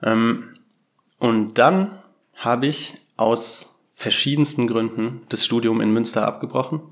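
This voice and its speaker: A young man talks at an ordinary middle pitch as a podcast guest. He rambles through his story and keeps playing down his own skill. The tone is relaxed and chatty. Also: a little slow. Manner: rambling, self-deprecating storyteller. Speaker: guest game designer. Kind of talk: casual podcast interview. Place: Berlin.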